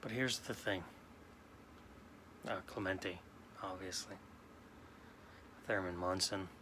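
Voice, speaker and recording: A man in his late forties from New York, talking slowly and haltingly in a sad, subdued way as a sports card vlogger. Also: low-pitched, fairly even intonation, background noise. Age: 40s. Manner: halting, subdued, many restarts. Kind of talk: casual personal talk to camera.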